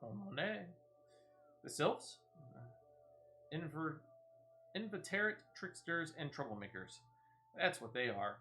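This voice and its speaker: A man's voice: on the high side, expressive, slow pace, long pauses, sharp tone, soft background music, exaggerated voice. A man voicing a fussy villager in a fantasy game, who huffily complains about pranksters. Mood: exasperated. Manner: exasperated, huffy. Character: indignant villager, fussy and disapproving of tricksters.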